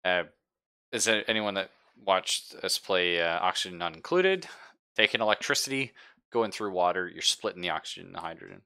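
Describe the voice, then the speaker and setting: A man with a deep, bright voice acts as game master for a tabletop roleplaying game. His narration is casual and conversational, with joking and swearing mixed in. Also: a little fast, vocal fry.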